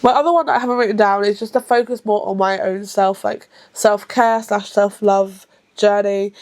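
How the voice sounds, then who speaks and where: A chatty woman of about thirty, talking casually to the camera as a book vlogger. She rambles and often stops to correct herself mid-sentence, sometimes rushing her words. Her voice sits at an ordinary middle pitch. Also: fairly even intonation, a little mellow. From England. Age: around thirty. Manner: rushed at times.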